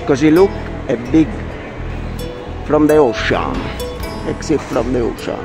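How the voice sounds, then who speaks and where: A man with an Italian accent giving an excitable walking tour of a big shopping mall, mixing Italian exclamations into broken English, recorded on a handheld camera. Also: mid-range voice, a little slow. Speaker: family vlogger. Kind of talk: casual walking tour commentary. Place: large indoor shopping mall. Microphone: handheld action camera. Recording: loud background music over the voice.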